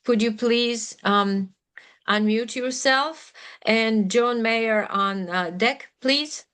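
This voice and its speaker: A woman moderates an online Q&A and politely calls on the next speakers. She speaks slowly, a little hesitant, in a smooth, clear voice. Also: on the high side, fairly bright tone.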